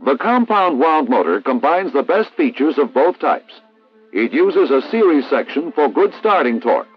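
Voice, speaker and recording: An American man reading a scripted instructional narration in a formal, measured, didactic way, as in a 1960s military training film. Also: fairly high pitch, very animated, slightly rough, soft background music. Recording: dull vintage 1960s film soundtrack.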